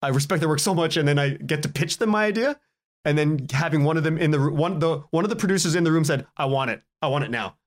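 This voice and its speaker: A man's voice: fairly high pitch, fast talker. A man telling stories casually as a podcast guest, chatty and acting out the dialogue he quotes. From Canada. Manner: chatty, acts out quoted dialogue, chuckles while talking. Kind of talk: animated casual storytelling in an interview.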